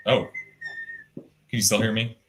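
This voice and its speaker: A young adult man with a deep, resonant voice, talking casually and thoughtfully over a video call, with fillers and restarts. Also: flat delivery, unhurried. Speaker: artist guest. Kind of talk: casual conversational interview.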